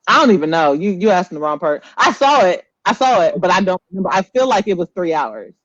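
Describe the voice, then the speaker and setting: High-voiced man, dry and lo-fi sound. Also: big pitch swings, fast, a bit bright, no room sound. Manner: informal, blunt, freely swears. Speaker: podcast co-host. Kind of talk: casual live podcast chat. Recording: dry, dull lo-fi podcast audio.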